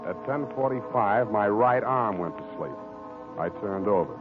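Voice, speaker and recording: An American man acting a wry, weary hard-boiled private detective in an old-time radio drama, deadpan and sardonic. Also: lowish voice, moderate pace, natural voice. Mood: wry, dictating. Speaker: lead actor of a radio detective series. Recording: narrow, tinny old-time radio recording with music.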